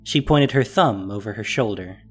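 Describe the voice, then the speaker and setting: A narrator reading a scripted fantasy story with expressive, descriptive delivery. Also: medium pitch, normal speed, soft background music.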